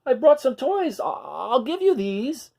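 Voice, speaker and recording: A man's voice: very high voice, sing-song, a little slow, gravelly, darkish tone, pitched above the performer's own voice, full character voice. A man voicing a whiny, emotional young male dinosaur in a children's storybook, pleading and exclaiming.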